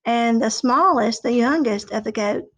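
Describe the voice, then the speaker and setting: A woman teaching young children and telling a folk tale in simple, deliberate language. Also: on the high side. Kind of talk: children's lesson and oral storytelling.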